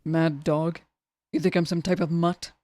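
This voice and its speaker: Assassin , played by a woman: roguish troublemaker, lowish mellow voice, dry close sound, dramatic and wheedling. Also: level tone, unhurried, dry recording, close to an everyday voice. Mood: indignant, pleading. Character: roguish, pleading assassin, the queen's troublesome cousin. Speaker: voice actor in an audio roleplay. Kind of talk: ASMR audio drama acting.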